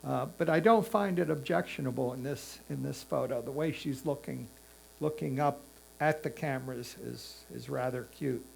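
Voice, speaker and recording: A man with a creaky, mid-pitched voice critiques images as a competition judge. He is mild and considered, often hesitating. There is a light electrical hum in the recording. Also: normal speed. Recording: faint mains hum.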